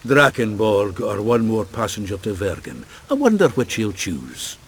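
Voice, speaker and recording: Gruff game dwarf: low, creaky voice, animated intonation, blunt and colloquial, faint noise behind. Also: male voice, unhurried. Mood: wry, satisfied. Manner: brash, colloquial, scolding. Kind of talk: video game voice acting.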